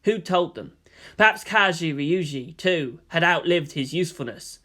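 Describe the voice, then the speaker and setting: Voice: medium pitch, expressive, normal speed, pushed and bright. A narrator giving a scripted, dramatic reading of anime fan fiction. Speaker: audiobook narrator.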